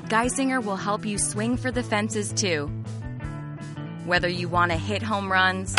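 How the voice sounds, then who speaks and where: Female commercial read, polished, mid pitch, with a music bed. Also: steady tone, a little slow. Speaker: ad voice-over.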